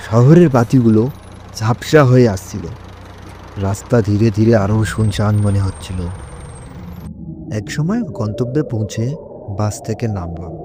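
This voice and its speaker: A man's voice: on the low side, lively intonation, a little slow, pauses often, background noise. A man narrating a scripted horror story in the first person.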